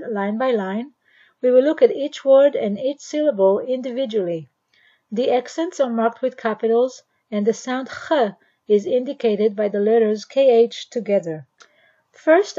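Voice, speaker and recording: A woman from Israel with an Israeli accent and native Hebrew gives scripted language instruction, enunciating carefully and deliberately. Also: a little high, dark tone, lo-fi sound.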